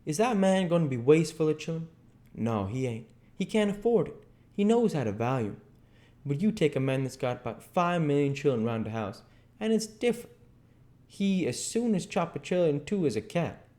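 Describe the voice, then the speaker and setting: A man's voice: a little high, very animated, moderate pace, warm tone, voice pushed up. A stubborn Southern man, as acted out by a male reader, argues in a thick folksy dialect. He is an unschooled storybook character, indignant and insistent in the way he reasons. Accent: American South. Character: stubborn, argumentative uneducated grown Southern man, a runaway. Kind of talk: audiobook character dialogue.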